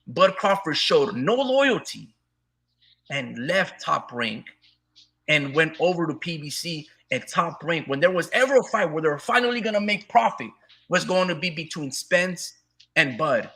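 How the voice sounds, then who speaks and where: Man, boxing talk host, animated and argumentative, slightly hoarse, bright tense tone, a bit high. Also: measured pace. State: losing his voice a little. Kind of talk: heated casual boxing debate.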